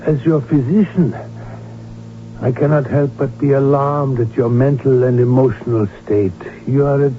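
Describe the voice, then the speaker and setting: An actor playing a grave physician who narrates a radio drama in a measured, formal, wry way. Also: male voice, medium pitch, steady tone, slight background noise, natural voice. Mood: concerned, grave. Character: archduke's grave physician friend, narrating the story. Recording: narrow-band old radio drama, music.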